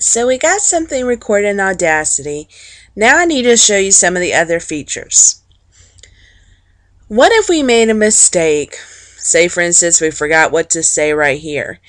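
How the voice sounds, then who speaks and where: A woman teacher narrating an informal, plain-spoken software walkthrough step by step, explaining things for students. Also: mid-range voice, no echo. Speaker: teacher giving a software tutorial.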